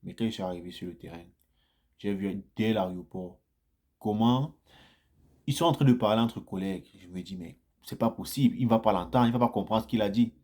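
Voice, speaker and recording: A man with a fairly low voice talks to his viewers in a loose, chatty way. He repeats phrases for emphasis and livens up as his stories build. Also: a little fast. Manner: chatty, repeats phrases, grows animated in anecdotes. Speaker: vlogger sharing immigrant experience. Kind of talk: casual first-person storytelling to viewers.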